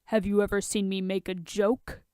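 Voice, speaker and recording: A woman voicing a stern, humorless masked vigilante man in a deadpan, curt way, in a fan fiction reading. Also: medium pitch, clear, resonant tone, no echo, studio quality, lightly acted. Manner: deadpan, curt, drawn-out delivery.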